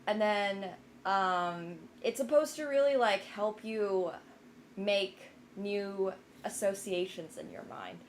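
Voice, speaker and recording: A woman's voice: mid-range voice, slow pace, slight background noise. A young woman who grew up in Finland, chatting casually with her live stream viewers, rambling with lots of fillers and restarts. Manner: rambling, many fillers and restarts, self-deprecating.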